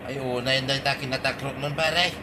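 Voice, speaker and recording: An actor playing an exasperated, insistent village friend in an Ilocano radio comedy drama, with an Ilocano accent. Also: male voice, medium pitch, steady tone, fairly quick, noisy background, natural voice. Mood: teasing. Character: nagging, exasperated male village buddy of the captain.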